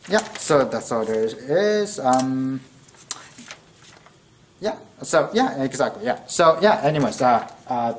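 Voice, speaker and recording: Young guy teaching math at a whiteboard, kinda hoarse, rambles and corrects himself, roomy echo, faint hiss. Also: medium pitch, very animated, slow pace. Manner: rambling, self-correcting, thinking aloud. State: strained, losing his voice. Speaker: math tutor. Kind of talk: casual whiteboard lesson. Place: classroom at a whiteboard. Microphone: camera-mounted mic at a distance. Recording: echoey room, distant camera mic, faint noise.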